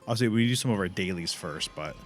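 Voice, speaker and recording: A man's voice: deep voice, lively intonation, quick pace, faint music behind. A man chatting casually as a livestream host and gamer, rambling in loose slang with frequent swearing.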